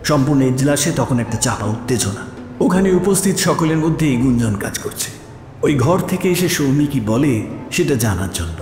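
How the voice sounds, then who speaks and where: A man's voice: mid-range voice, sing-song, normal speed. A man narrating a suspense story in a grave, dramatic manner. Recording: echoey, long reverb. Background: soft music.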